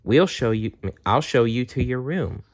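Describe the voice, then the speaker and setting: A man's voice: medium pitch, expressive, a little slow, lo-fi sound, voice pushed up. Man playing a kindly, welcoming female nurse. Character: friendly, helpful female hospital nurse.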